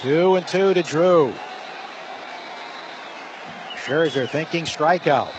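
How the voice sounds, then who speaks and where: High-pitched man calling baseball over stadium noise. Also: slow pace, a crowd in the background. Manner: clipped, descriptive short phrases. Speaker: baseball play-by-play announcer. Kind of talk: live radio play-by-play commentary. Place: ballpark broadcast booth. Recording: noisy live stadium broadcast. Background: clear stadium ambience.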